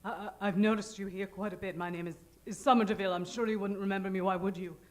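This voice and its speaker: A woman's voice: mid-range voice, steady tone, quick pace, close to an everyday voice. A woman playing a meek, hesitant, stammering damsel in distress in an improvised old-time radio parody. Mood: shy, nervous. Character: timid, self-effacing young damsel in distress. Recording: roomy live stage sound.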